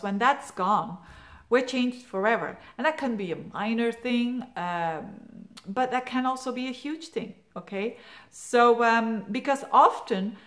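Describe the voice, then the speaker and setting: A woman with a Flemish accent whose first language is Dutch gives a chatty, rambling horoscope talk as an astrologer, full of fillers and restarts. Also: medium pitch. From Belgium. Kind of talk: casual monthly horoscope talk.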